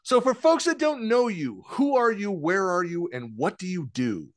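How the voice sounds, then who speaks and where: A man's voice: high voice, sing-song, moderate pace, dry recording, studio quality. A man hosting an interview podcast, talking casually and rambling a little. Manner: rambling, many fillers and restarts. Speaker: podcast host.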